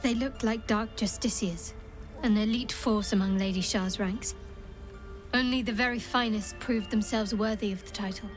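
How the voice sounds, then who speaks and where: An actress playing a guarded, secretive young woman cleric in a video game, speaking in a measured, reserved, faintly wry way. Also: medium pitch, steady tone, faint music behind, natural voice, low-quality recording. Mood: guarded, pensive.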